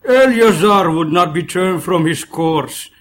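A man voicing a fierce, commanding ancient master in a story, grave and forceful. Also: high-pitched, animated, measured pace, voice pushed up. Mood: grim, urgent.

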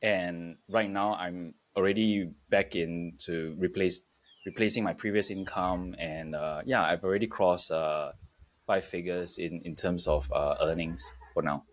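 A man with a fairly low voice talks reflectively as a podcast guest. He moves forward in short, halting phrases, pausing as he puts his thoughts together. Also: fairly even intonation. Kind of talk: reflective interview talk.

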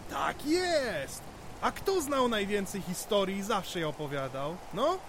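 A man's voice: high voice, big pitch swings, a little slow, background noise, full character voice. An actor playing a jovial, chatty older village man in an audio drama, coaxing his friend with stammered repetitions.

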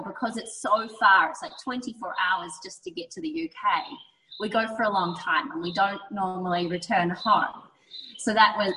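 A woman's voice: lowish voice, a bit bright, far from the mic, poor audio. A woman with a New Zealand accent, a podcast guest on a video call, telling her life story in a chatty way with many ums and restarts. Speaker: guest, yoga teacher trainer. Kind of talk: casual conversational storytelling.

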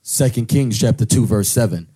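A man reading scripture aloud at a service in a steady, plain way. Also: mid-range voice, moderate pace, breathy edge. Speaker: scripture reader.

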